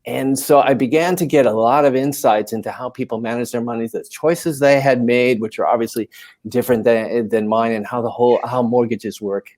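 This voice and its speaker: Male panel guest, American accent, mid-range pitch, unscripted conversational storytelling. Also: steady tone, normal speed, a little mellow. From New York City, USA. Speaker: panel guest, managing editor. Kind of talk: conversational personal account.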